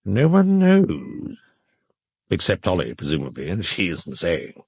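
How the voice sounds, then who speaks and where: Urbane literary critic, very husky, animated intonation, dry wit, articulate, cynical, audio drama. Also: male voice, sits low, no echo, exaggerated voice. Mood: wry, bitter. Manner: dry, articulate, knowing, measured phrasing. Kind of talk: naturalistic audio drama dialogue.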